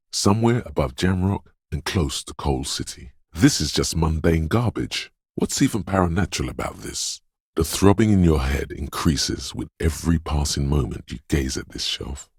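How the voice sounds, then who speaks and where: A man voicing a brooding, superstitious inner voice of intuition in a video game, speaking in a hushed, portentous, theatrical way. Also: no room sound, studio quality, heavily acted. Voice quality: very deep, gravelly, husky. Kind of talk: dramatic video game narration.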